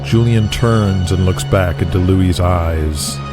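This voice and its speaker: A man's voice: low voice, slow pace, over music. A man reading scripted, dramatic narration as the narrator of an audio drama.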